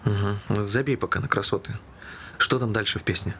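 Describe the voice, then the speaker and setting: A man's voice: on the low side, brisk, pushed and bright, a little hiss or hum. An actor playing a laconic, patient male friend with a terse, matter-of-fact manner in a stage drama. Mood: brisk, tired. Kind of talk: naturalistic stage dialogue.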